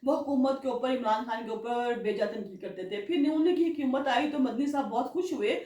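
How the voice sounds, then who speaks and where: High-voiced woman in an echoey room. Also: a little fast, dark tone. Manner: earnest, rising to indignant rhetorical questions. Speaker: commentator. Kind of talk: political commentary monologue. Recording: roomy echo from a distance.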